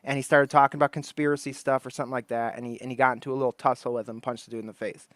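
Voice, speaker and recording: A man's voice: medium pitch, flat delivery, racing, fairly bright tone, dry recording, clean studio sound. A man on a casual podcast, rambling through a story, laughing often and acting out the people he quotes. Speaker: podcast co-host.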